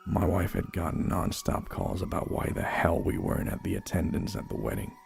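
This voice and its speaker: A man's voice: low voice, expressive, vocal fry, slight background noise. A man narrating a first-person horror story in a somber, dramatic manner. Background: slow dark music.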